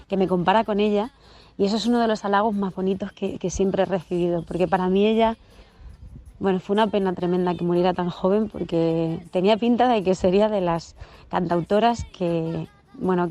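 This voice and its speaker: A singer-songwriter woman chats in a casual audio message recorded at home. Her voice is medium in pitch. She talks warmly, with frequent restarts. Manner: chatty, warm, with repeated restarts. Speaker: singer-songwriter and radio contributor.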